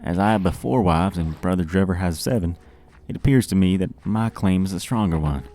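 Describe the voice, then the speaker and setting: A man's voice: deep voice, brisk, mellow, faint music behind, pitched above the performer's own voice. A man voicing a pompous, self-righteous young zealot who talks in a preachy way.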